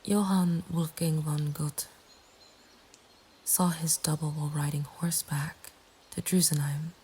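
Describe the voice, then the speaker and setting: A woman narrating a scripted horror story in a breathy ASMR whisper, with a hushed, deliberate delivery. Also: sits low, steady tone. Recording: faint ambient background noise. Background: soft nature ambience.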